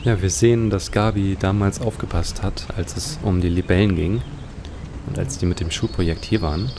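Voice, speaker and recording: A man's voice: low voice, steady tone, moderate pace, noisy background. A man giving musing, speculative commentary as he plays an adventure game. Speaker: let's play host.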